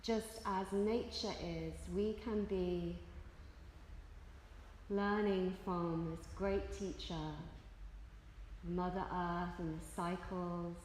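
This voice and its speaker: Female meditation guide, extremely unhurried and soothing, long pauses, recorded in an echoey space with long reverb. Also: on the low side, fairly even intonation, darkish tone, slight background noise. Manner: soothing, measured, with long pauses between phrases. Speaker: yoga nidra teacher leading guided relaxation.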